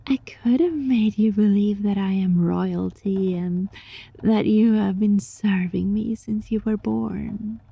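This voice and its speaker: Casting: woman as sly kitsune fox spirit for ASMR roleplay; very husky, breathy, slow, softly menacing, close dry mic with a slightly muffled sound. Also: medium pitch, no echo, heavily acted. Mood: reassuring, coaxing. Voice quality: breathy, often dropping into whisper. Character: sly, teasing female kitsune fox-spirit roommate, controlling yet gentle. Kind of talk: ASMR roleplay, scripted monologue addressed to listener.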